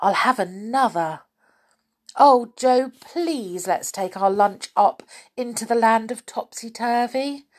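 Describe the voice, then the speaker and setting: A woman voicing an excitable young boy who begs to go on an adventure, in a storybook reading. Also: fairly high pitch, slow pace, pitched above the performer's own voice. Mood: pleased. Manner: pleading, eager.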